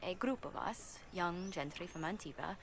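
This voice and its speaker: An actress playing a gentle, earnest noblewoman ambassador in a video game, speaking politely and formally with careful wording. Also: fairly low pitch, expressive, moderate pace, noisy background. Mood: wistful. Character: poised, courteous noblewoman ambassador, former bard, gentle and earnest. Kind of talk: video game cutscene acting.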